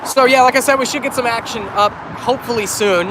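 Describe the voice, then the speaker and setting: Man, high voice, recovering from a cold, phone livestream, chatty, self-correcting, loud traffic and wind behind. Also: a little fast. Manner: talks to chat, restarts and corrects himself. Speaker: railfan livestreamer. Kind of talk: casual livestream chat. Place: outdoors on a bridge by a busy highway. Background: heavy traffic and wind.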